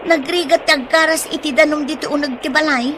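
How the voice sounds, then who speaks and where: A practical, hardworking Ilocano village wife played by a woman in a radio drama. Her voice is on the high side, with faint noise behind it. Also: fairly even intonation, fairly quick, natural voice. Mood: homely, practical.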